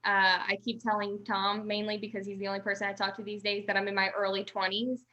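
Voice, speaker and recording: A woman's voice: medium pitch, completely flat delivery, fairly quick, pushed and bright, highs cut off. Talkative young woman in casual chat. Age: 20s. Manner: rambling, talkative, many restarts and "like". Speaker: guest.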